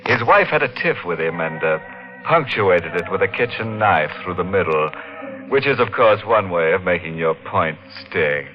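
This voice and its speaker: A man playing a ghoulish radio show host who delivers macabre puns slowly and gloatingly, in a 1950s radio drama. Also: low-pitched, animated, faint music behind. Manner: slow, gloating, punning delivery. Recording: dull old 1950s radio broadcast.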